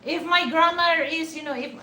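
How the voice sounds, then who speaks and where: A woman's voice: very high voice, steady tone, normal speed, bright, forceful tone, roomy sound, slight background noise. A woman from the Philippines with a Filipino accent, rambling in a casual vlog with many fillers and restarts. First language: Hiligaynon. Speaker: vlogger. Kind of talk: casual talk to camera.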